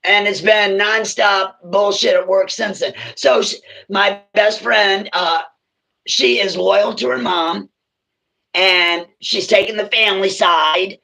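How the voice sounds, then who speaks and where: Woman, raspy and gravelly, bright tone; blunt, emphatic livestream guest. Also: mid-range voice, slow, poor audio. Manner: blunt, emphatic, swears freely. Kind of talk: casual livestream chat.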